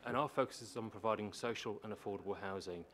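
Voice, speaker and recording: Male presenter, low register, explanatory and emphatic, addressing a formal meeting, faint room noise. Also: fast talker, fairly bright tone. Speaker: housing organisation manager presenting to a council. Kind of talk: prepared public submission speech. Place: council meeting chamber.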